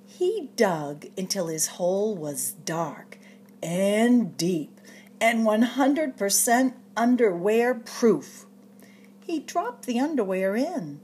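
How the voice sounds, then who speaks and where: A woman reads a children's picture book aloud with a lively, swooping voice. She takes her time and stretches phrases for suspense like a practiced storyteller. There is some faint noise in the recording. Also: medium pitch. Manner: expressive, dramatic storyteller delivery with drawn-out suspenseful phrases. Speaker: storytime reader at a public library.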